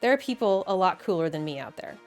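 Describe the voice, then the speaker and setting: A woman's voice: lowish voice, expressive, quick pace. American woman doing video essay narration, casual wry asides, emphatic, bit of music underneath. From Midwestern United States.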